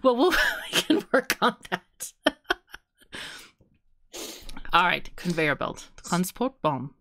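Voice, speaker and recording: A woman's voice: on the low side, sing-song, slow, pushed and bright. A woman co-hosting a podcast in casual, playful chat, laughing often and guessing aloud. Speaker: podcast co-host.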